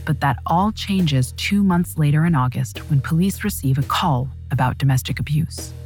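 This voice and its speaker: A woman narrating a true crime story in a measured, dramatic scripted style. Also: low voice, a little mellow, soft background music.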